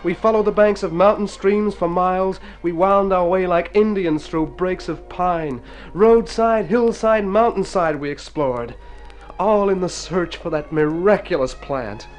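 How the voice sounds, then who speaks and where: A man's voice: high voice, a little slow. An actor playing an earnest, plaintive convalescing city man in a radio drama, theatrical and wordy. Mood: wistful storytelling. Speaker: storyteller and lead character in a radio play. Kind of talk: Golden Age radio drama acting. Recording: old radio recording with music.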